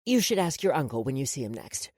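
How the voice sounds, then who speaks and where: A woman reader plays a menacing woman in charge from an audiobook. Her voice is low and very animated, mocking and commanding, recorded close and dry. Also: fast talker, husky, no room sound, heavily acted. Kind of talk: audiobook character dialogue.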